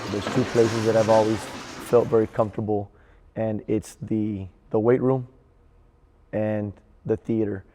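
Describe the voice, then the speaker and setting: A man who directs drama giving a reflective interview in a halting, measured way. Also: fairly low pitch, level tone, big-room sound, background noise.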